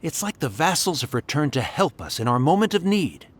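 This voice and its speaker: A man's voice: on the high side, sing-song, a little fast, background noise. An actor playing an earnest, trusting young soldier in a video game, telling his account sincerely. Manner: sincere, storytelling. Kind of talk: video game character dialogue.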